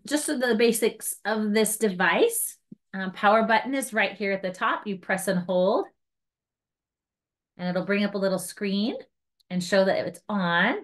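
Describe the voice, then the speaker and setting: A woman trainer walks guides through equipment in an informal demonstration. Her voice sits at a middling pitch, and she explains each step in a casual, chatty, hands-on manner. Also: a bit bright.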